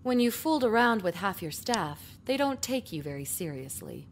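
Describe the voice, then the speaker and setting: A woman voicing a stern, exasperated high school principal in a visual novel. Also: very animated, moderate pace, slight background noise. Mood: resigned, frank.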